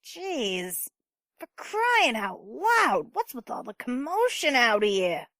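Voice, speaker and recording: A woman's voice: fairly high pitch, wildly swooping pitch, slow pace, a bit bright, dry recording, clean studio sound, full character voice. A woman playing a sardonic, teasing, unimpressed neighbour in an amateur audio drama. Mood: irritated.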